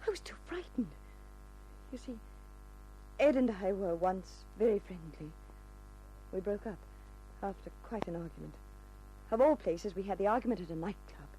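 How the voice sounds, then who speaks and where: Lady acting a glamorous model in trouble, breathy n pleading, very dramatic old radio crime show, bit of music. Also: mid-range voice, animated, normal speed, pauses often, warm tone, lightly acted, low-quality recording. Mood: frightened, pleading. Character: seductive, desperate glamorous female fashion model.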